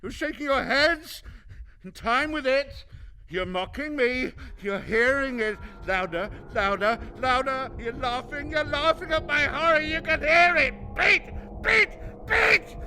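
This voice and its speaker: An actor playing a nervous, guilty murderer in a radio play, stammering and repeating himself in an over-eager, ingratiating way. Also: male voice, extremely high pitch, a little slow, sharp tone, a little hiss or hum, exaggerated voice. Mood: frantic panic. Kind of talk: theatrical radio play acting.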